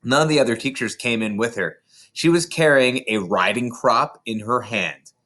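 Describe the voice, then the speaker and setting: A man narrating a children's book aloud in an expressive storytelling style. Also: mid-range voice, moderate pace. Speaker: storybook reader. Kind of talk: animated children's audiobook narration.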